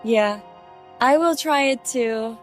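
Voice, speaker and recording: A woman speaks slowly in a fairly high voice, chatting casually with friends as they play. There is music in the background. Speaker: friend in a gaming voice chat. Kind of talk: casual chat.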